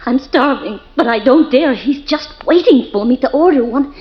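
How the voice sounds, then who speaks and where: Brief: scared young wife, pleading, high voice, lo-fi radio. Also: female voice, lively intonation, normal speed, slightly rough. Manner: anxious, pleading. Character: frightened young wife recounting a nightmare. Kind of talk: 1940s radio acting. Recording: dull old radio recording with faint music.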